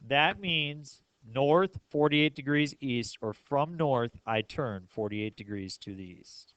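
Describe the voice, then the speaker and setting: A man's voice: mid-range voice, slow pace, fairly bright tone, dry recording, poor audio. A man teaching a math class step by step, often checking understanding with questions. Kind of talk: classroom lesson, explaining step by step.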